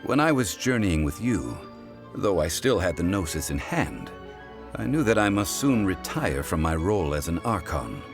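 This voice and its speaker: An actor playing a calm, dignified ancient god living as a mortal in a game, speaking in a measured, formal and reflective manner. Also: male voice, low voice. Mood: calm, amused. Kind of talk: scripted game cutscene voice acting. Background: soft game music.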